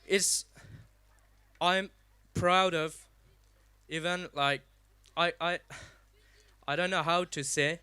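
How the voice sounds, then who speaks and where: A young man with an Afghan accent giving a personal speech at a community event, halting and deliberate, in short non-native English phrases. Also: on the high side, fairly even intonation, sharp tone, clear, resonant tone, dry recording. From Afghanistan, raised partly in Pakistan. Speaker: guest speaker at a community event. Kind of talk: public speech, personal testimony.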